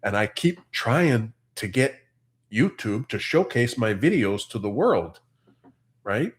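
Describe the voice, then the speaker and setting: A man's voice: medium pitch, big pitch swings, slow pace. A Canadian man in his forties or fifties with a Canadian accent, hosting a farm livestream and reading out viewers' comments in a chatty, easygoing way. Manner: chatty, easygoing, laughs often. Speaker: farm channel host reading live comments. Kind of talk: casual livestream chat.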